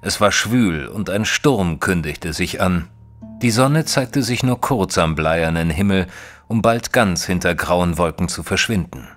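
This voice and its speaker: A man playing a reserved Victorian gentleman, a detective's companion, narrating an audio drama in a measured, formal way. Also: low-pitched, animated, faint music behind. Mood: measured, descriptive.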